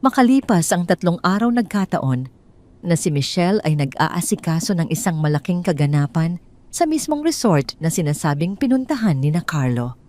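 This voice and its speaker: A woman with a Filipino accent reading a scripted love drama as a storyteller, with measured, dramatic delivery. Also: on the low side, big pitch swings, darkish tone. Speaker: storyteller, narrator.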